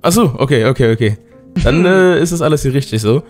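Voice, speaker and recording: A hoarse man with a cold, giving excited let's-play commentary and often breaking into loud outbursts. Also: mid-range voice, quick pace, faint music behind. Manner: excitable, loud outbursts, keeps addressing viewers. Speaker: gaming YouTuber.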